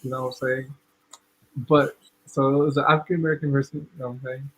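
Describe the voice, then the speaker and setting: A man's voice: medium pitch, flat delivery, quick pace, breathy edge, rough recording. A man from Ghana co-hosting a podcast, telling a story in a chatty way with constant checks on the listener. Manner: chatty, repeats "you know what I'm saying". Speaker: podcast co-host. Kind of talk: casual storytelling.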